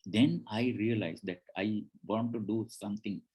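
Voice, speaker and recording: A man's voice: fairly low pitch, a little slow, a little mellow, husky. A man who learned English as a third language, presenting on job interviews in a conversational way with frequent restarts. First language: not English. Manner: conversational, restarts often, acts out quoted dialogue.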